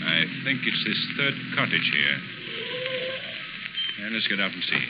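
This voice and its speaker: An actor playing an assured, thoughtful gentleman sleuth in 1930s radio drama style. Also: fairly low pitch, big pitch swings, unhurried. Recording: muffled, noisy 1930s radio recording.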